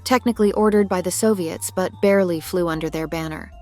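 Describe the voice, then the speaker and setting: A woman voicing a genial, gently teasing middle-aged military cargo plane in genteel, scripted cartoon dialogue. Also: fairly low pitch, fast, soft background music, lightly acted. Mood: wistful.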